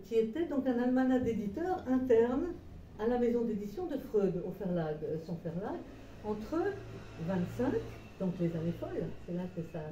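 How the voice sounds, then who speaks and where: A woman's voice: medium pitch, mellow, a little hiss or hum. A woman presenting her book to a small audience in a meeting room. She tells it as a digressive story, full of hesitations and restarts. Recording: roomy echo, voice far from mic.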